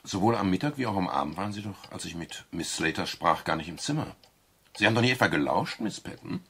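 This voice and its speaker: An actor playing a wry, courteous private detective who narrates a 1970s radio crime drama. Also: male voice, low voice, big pitch swings, quick pace, pushed and bright, husky, heavily acted. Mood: wry, teasing. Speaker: detective narrator and lead character.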